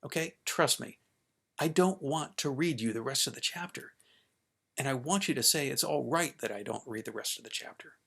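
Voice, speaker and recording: A man voicing a patient, reluctant father in a story, reasoning with his son in a measured, earnest way. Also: mid-range voice, creaky, a bit bright, slightly rough.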